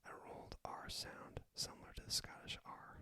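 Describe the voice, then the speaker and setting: A man's voice: moderate pace, sharp tone. A man speaks entirely in a whisper, in the manner of an ASMR video. He hosts an informal, self-deprecating language lesson as a native English speaker. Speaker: video host teaching Spanish basics.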